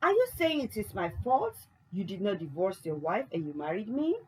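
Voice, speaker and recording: A woman's voice: medium pitch, sing-song, normal speed, darkish tone, breathy edge, poor audio. Woman reading as a furious scorned wife. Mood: angry.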